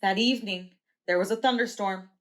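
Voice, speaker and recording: A preschool teacher reading a children's picture book aloud, clear, slow and expressive. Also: female voice, mid-range voice.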